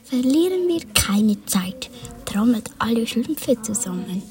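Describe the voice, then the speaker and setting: A woman's voice: a little high, sing-song, slow pace, a little mellow. A woman whispering the part of a kindly old male gnome leader, in a firm manner.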